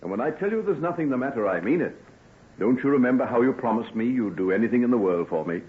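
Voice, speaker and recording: An actor playing an earnest, formal gentleman doctor in old-time radio drama. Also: medium pitch, expressive, fast talker, natural voice. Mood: gently coaxing. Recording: thin, phone-like old radio recording.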